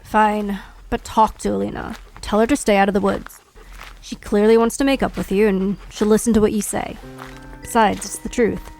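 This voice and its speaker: Woman acting curt bossy guardian type, creaky mid voice, exasperated, audio drama with music under. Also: mid-range voice, little pitch movement, moderate pace, darkish tone, lightly acted, rough recording. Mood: irritated.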